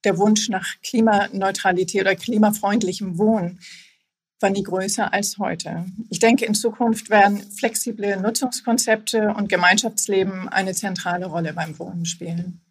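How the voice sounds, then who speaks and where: A woman in her fifties, a housing cooperative executive, speaking as a guest in a podcast interview in a measured, formal way. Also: mid-range voice, steady tone, husky. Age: 50s.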